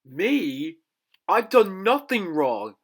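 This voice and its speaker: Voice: mid-range voice, very animated, drawn-out words, exaggerated voice. An actor playing a defensive, disbelieving president under accusation in an amateur audio drama.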